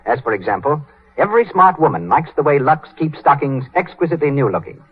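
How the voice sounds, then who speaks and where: A man's voice: fairly high pitch, lively intonation, a little fast, husky. A man with an American accent announcing in 1930s radio style. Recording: tinny, band-limited 1930s radio broadcast.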